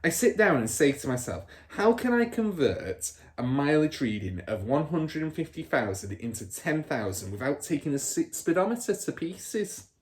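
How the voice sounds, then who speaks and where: Man putting on a loud, boastful crooked car dealer. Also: a little high, very animated, normal speed, hardly any pauses, pitched above the performer's own voice. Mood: animated bragging. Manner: bragging, emphatic, scornful. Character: boastful crooked middle-aged male car dealer, rude, sneering father.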